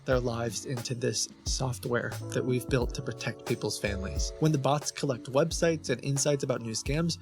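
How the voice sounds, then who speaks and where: A man's voice: medium pitch, steady tone, normal speed, hardly any pauses. A man hosting a video with earnest, scripted narration. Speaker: video host. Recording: music bed under the voice. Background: background music.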